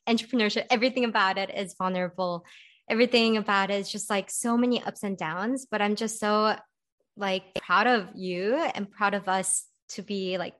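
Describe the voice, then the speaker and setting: A woman's voice: medium pitch, fairly bright tone. A young woman hosting a podcast, speaking in an upbeat, casual way with lots of "like" and encouraging affirmations. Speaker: podcast host.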